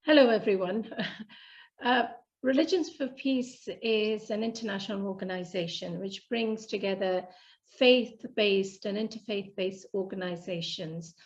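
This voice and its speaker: A woman's voice: mid-range voice, measured pace, muffled recording. A woman speaking as a guest on a radio show, explaining in answer to a question. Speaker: radio guest, chair of faith women's network.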